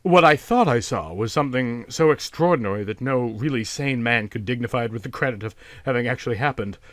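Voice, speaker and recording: A man voicing a reserved artist who recounts an uncanny sight in a measured, formal, grave way for a storybook reading. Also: medium pitch, a bit bright, no room sound, close to an everyday voice. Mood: grave, unsettled. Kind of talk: storybook character dialogue.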